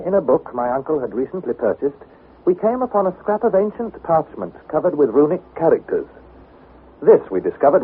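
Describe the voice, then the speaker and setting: A man's voice: medium pitch, lightly acted. Earnest young explorer-narrator male actor, dramatic and widely inflected, scripted radio drama, tinny hissy broadcast. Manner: measured, dramatic storytelling.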